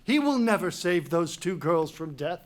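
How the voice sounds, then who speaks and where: A man's voice: fairly high pitch, sing-song, moderate pace. An actor playing a stubborn, hot-tempered, commanding king in a dramatic reading of Greek tragedy. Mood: angry, commanding.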